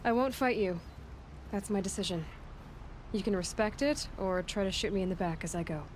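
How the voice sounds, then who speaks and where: A woman playing a principled young huntress in a video game, speaking in an earnest, measured, frank way. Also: medium pitch, expressive, a little hiss or hum, close to an everyday voice. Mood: firm. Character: level-headed young female huntress, guarded and principled. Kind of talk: naturalistic video game cutscene acting.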